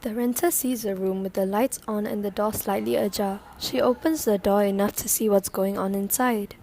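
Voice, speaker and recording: A woman's voice: mid-range voice, steady tone, moderate pace, warm tone, natural voice. A woman reading scripted narration and news-style lines for a radio drama, with faint noise behind her. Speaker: narrator and newsreader.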